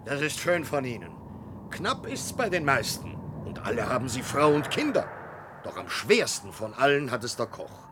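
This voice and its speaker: An actor playing a plain-spoken, courteous ship's captain in a 1960s radio drama, matter-of-fact in manner. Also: male voice, mid-range voice, sing-song, moderate pace, lightly acted. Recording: noisy storm-at-sea radio scene.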